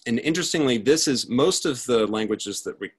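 A man's voice: mid-range voice, expressive, fairly quick, slightly rough. A man giving a prepared, explanatory talk as a speaker presenting research. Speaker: conference speaker, tech research lead.

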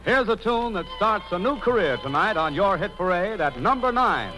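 A man's voice: high-pitched, big pitch swings, moderate pace, low-quality recording. A man announcing in the style of a 1940s radio broadcast. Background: music.

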